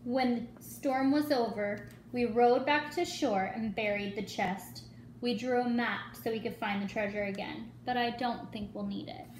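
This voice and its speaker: A woman giving an expressive dramatic reading of a children's picture book, dropping into hushed asides. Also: on the high side. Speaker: storyteller. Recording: echoey room with faint noise.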